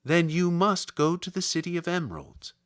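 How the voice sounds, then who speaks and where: A man reading aloud, voicing the good Witch of the North, a kindly old woman with a gentle, measured manner. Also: a little high, expressive, natural voice.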